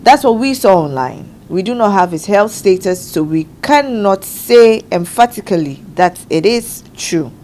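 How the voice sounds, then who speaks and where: Woman talk show host, measured explainer, slight background hiss. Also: mid-range voice, lively intonation, a little mellow. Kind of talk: studio panel discussion.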